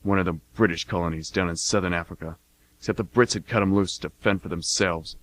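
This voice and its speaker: Low male voice, acted, blunt war veteran turned mercenary, folksy, cocky, self-justifying, radio play monologue. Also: fairly even intonation, fairly quick, fairly bright tone, no echo. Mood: matter-of-fact, boastful. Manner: folksy, plain-spoken, cocky.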